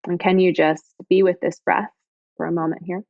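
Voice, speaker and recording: A woman yoga teacher leads a guided body scan meditation in a soothing, gentle way, with unhurried phrases and pauses. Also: fairly low pitch, level tone, vocal fry, dry recording, phone-quality sound.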